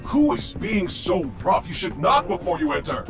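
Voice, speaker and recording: An actor voicing a pompous, fussy and cowardly military staff officer in a video game, prissy and indignant. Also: male voice, high-pitched, very animated, moderate pace, creaky, over music, heavily acted.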